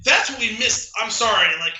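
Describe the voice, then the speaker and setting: High, very bright male voice, echoey. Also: lively intonation, a little fast. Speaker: podcast co-host. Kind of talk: casual movie review chat. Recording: echoey, roomy sound.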